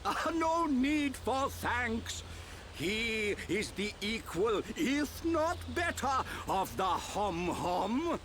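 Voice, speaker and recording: A man's voice: high voice, big pitch swings, extremely slow, fairly bright tone, slight background noise, full character voice. An actor playing a small, genial old creature who is chief of his village in a fantasy game, courtly and self-satisfied. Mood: pleased, brisk. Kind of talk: fantasy video game acting.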